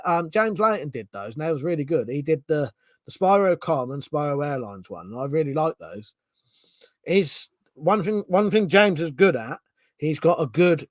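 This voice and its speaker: Lively chatty man streaming. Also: a little high, no echo. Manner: chatty, swears casually, restarts sentences. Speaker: streamer reading chat. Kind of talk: casual live-stream chat.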